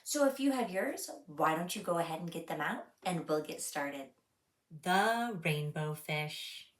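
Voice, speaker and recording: Woman doing kids story time, lowish voice, takes it real slow and expressive, bit far from mic. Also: roomy sound. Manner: deliberate, expressive phrasing for young listeners. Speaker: children's story time host and reader. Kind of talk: read-aloud storytelling for children.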